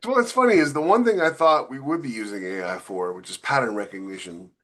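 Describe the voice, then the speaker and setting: A man with a mid-range voice explains his views in relaxed podcast conversation. He is opinionated and repeats phrases for emphasis. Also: mid-range voice, quick pace. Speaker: podcast co-host. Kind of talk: casual explanatory chat.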